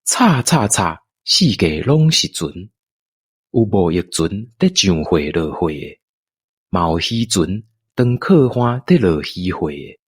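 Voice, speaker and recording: A Taiwanese man tells a scripted story for radio. His delivery is slow and animated in pitch, slightly rough in texture, recorded close and dry. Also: no room sound. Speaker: narrator.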